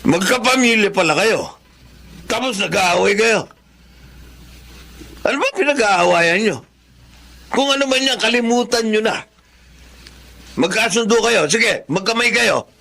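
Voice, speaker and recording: A man's voice: high-pitched, lively intonation, unhurried, gravelly, long pauses, sharp tone, heavily acted. A man acting a stern, commanding village captain with a Filipino accent in a radio drama. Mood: firm, mediating. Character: exasperated, authoritative village captain mediating a quarrel.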